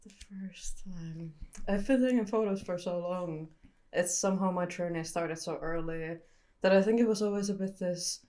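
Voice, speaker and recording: A young woman from Finland with a low-set voice answers interview questions in a thoughtful, candid way. She pauses now and then to search for the right words. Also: mellow, rough recording. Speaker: photographer guest.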